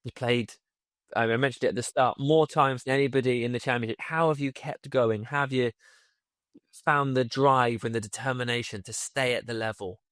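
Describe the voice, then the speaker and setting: A man's voice: mid-range voice, fairly even intonation, moderate pace, a bit bright, no echo. A man interviewing a guest with plain, direct questions.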